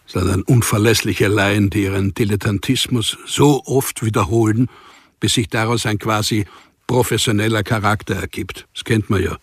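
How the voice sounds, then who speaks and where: An actor playing a calm, dangerous archivist who organises killings, speaking in a measured, formal way in a radio play. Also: male voice, on the low side, slightly rough, dry recording, studio quality. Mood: matter-of-fact. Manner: measured, formal, matter-of-fact. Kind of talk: radio play acting and first-person narration. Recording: music behind the voice.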